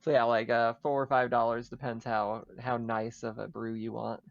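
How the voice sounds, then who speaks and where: A man's voice: mid-range voice, flat delivery, moderate pace, creaky, no room sound. Guy running a tabletop rpg, casual narration, dry but kinda lo-fi mic. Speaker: game master.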